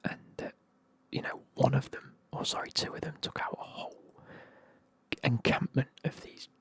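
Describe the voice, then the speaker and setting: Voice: moderate pace, dry recording. A speaker, whispering a casual, rambling recap of a fantasy novel full of fillers. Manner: soft whisper, rambling, frequent fillers and swearing. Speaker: book reviewer. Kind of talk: whispered ASMR book recap.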